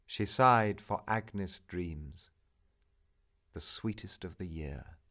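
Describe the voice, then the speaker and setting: A man reciting a narrative poem in a solemn, theatrical manner, with measured, drawn-out phrases. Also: sits low, fairly bright tone. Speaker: poetry reader.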